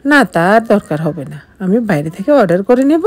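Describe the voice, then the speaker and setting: A woman's voice: medium pitch, very animated, moderate pace, a little mellow. A woman voicing a haughty, dismissive young second wife in an audio story, curt and scornful.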